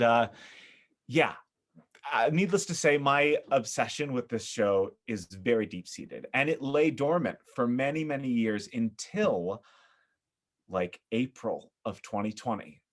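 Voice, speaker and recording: A man with an American accent, from upstate New York, talking casually as a podcast guest in an animated, opinionated, emphatic storytelling way. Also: medium pitch. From upstate New York, United States.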